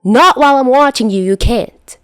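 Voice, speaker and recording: A woman's voice: sits high, moderate pace, a bit bright, clean tone, no room sound, voice pushed up. Bossy young man, voiced by a woman, petulant, teasing, nagging, audio fiction, dry sound. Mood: irritated. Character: bossy, pouting, teasing young man minding his injured partner.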